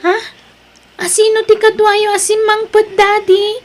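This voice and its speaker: A very high, childlike voice, small and upset. The child is anxious and tearful, pleading with elders in a family radio-style drama. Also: female voice, level tone, a little slow, faint music behind. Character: distressed little child tattling tearfully on Daddy. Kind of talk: Ilocano audio drama.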